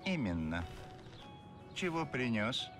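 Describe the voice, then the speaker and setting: A man voicing an eccentric cartoon creature boss, unhurried, terse and commanding. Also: mid-range voice, very animated, music in the background. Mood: commanding, calm.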